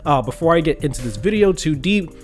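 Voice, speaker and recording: A man's voice: a little high, lively intonation, quick pace, faint music behind. A young man with a congested, stuffy voice, earnestly venting about gaming news in a casual commentary video. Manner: earnest, restarts and fillers, indignant. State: congested from a cold.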